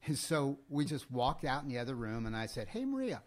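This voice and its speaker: A man's voice: mid-range voice, big pitch swings, a little fast. A man co-hosting a podcast in casual, unscripted chat, with a chatty storytelling manner. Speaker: podcast co-host.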